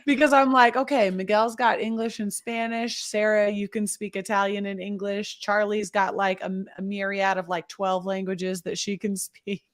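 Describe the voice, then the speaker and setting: A woman's voice: medium pitch, level tone, normal speed, few pauses. Playful self-deprecating woman host. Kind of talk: casual panel chat.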